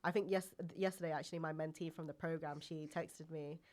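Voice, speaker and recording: A woman's voice: low-pitched, fairly even intonation, brisk, a little mellow. A young woman from England, chatting casually as a podcast guest and filling her talk with "like" and "um". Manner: chatty, heavy use of "like" and "um".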